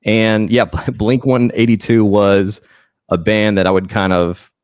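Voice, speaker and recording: A man's voice: lowish voice, little pitch movement, unhurried, clear, resonant tone, no echo. A man joining a fantasy football draft as a guest, speaking casually in a hesitant, stammering but easygoing way. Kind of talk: casual chat.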